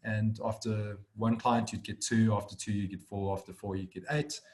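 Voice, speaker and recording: A man's voice: lowish voice, level tone, normal speed, darkish tone. A man in his thirties from South Africa with a South African accent, a firm director telling his story fluently on a webinar. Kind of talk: webinar conversation.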